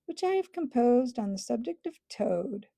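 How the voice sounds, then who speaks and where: A woman's voice: a little high, very animated, normal speed, vocal fry, dark tone, dry recording, clean studio sound, heavily acted. A woman voicing a pompous, scheming male weasel chief who makes a mocking speech in a storybook.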